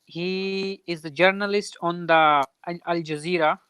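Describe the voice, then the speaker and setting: A man from Karachi, a student speaking politely and haltingly on a video call. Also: high-pitched, fairly even intonation, pushed and bright, clear, resonant tone, no room sound. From Karachi, Pakistan. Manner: polite, halting, says ma'am. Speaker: student on a live class.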